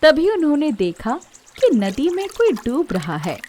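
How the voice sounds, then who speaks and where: Lady storyteller reading out a fairy tale, scripted narration for an animated kids story. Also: sits high, unhurried, a little hiss or hum.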